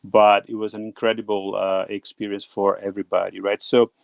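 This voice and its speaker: A man's voice: fairly low pitch, little pitch movement, brisk, gravelly, no echo. A middle-aged Brazilian man with a Brazilian Portuguese accent, hosting a live stream in English in a casual, friendly way with many fillers. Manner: friendly, hesitant with fillers, reads out viewer names. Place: home room in Tokyo.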